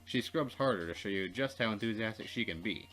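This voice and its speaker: A man reading a visual novel aloud as a Let's Play narrator, expressive and playfully dramatic. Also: lowish voice, fairly quick, soft background music.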